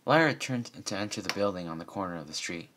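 A man with a fairly low voice reads a story aloud like a homemade audiobook. His delivery is a little halting, with the odd stumble. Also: animated, background noise. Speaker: reader of fan fiction. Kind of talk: amateur audiobook-style story reading.

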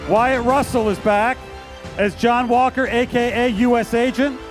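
High-pitched man presenting on stage. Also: steady tone, unhurried. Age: middle-aged. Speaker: presenter at a fan convention. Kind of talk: stage announcements introducing guests. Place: large convention hall stage. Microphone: handheld microphone. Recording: live stage PA with music.

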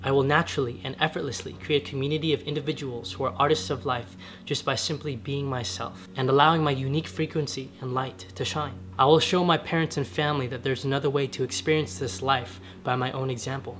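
A young man with a slightly raspy voice, talking earnestly to camera in a casual vlog from his home garage. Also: medium pitch, fairly even intonation, fast, few pauses, fairly bright tone. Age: young adult. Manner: earnest, gesturing with his hands while sitting on the floor. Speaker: vlogger. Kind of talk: casual spiritual vlog talk. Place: home garage, medium-sized, indoors.

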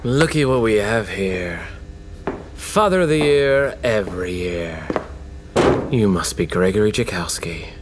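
Man acting a sardonic detective with swinging pitch. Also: animated, a little slow, background noise. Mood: mocking. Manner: mock-polite, taunting, folksy. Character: sardonic, violent male homicide detective. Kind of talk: audio drama acting.

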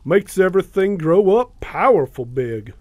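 Creepy, simple young Southern yokel, highish voice, slow halting drawl, for radio drama. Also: male voice, big pitch swings, dry recording, dull audio, exaggerated voice. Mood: folksy, relishing. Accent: rural Southern US. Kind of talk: audio drama acting.

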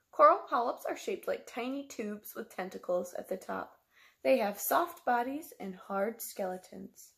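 High-pitched woman reading to children. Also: creaky. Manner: simple, sing-song explaining to young children, question-led. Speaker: teacher reading aloud. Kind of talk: children's picture-book read-aloud with questions. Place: small room at home, indoors.

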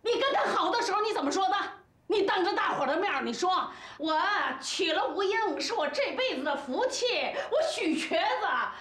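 A woman's voice: high-pitched, big pitch swings, normal speed. An older woman acting in a TV drama as a bossy, fiercely protective family friend, scolding and giving emphatic orders. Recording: echoey room, faint background music.

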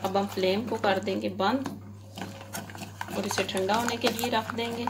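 A woman of medium pitch with a Pakistani Urdu accent talks viewers through a recipe in her home kitchen, calm and patient as she points out each step. Dishes and pans clatter clearly behind her voice. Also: unhurried, background noise. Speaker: home cooking channel host. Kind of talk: step-by-step cooking instructions.

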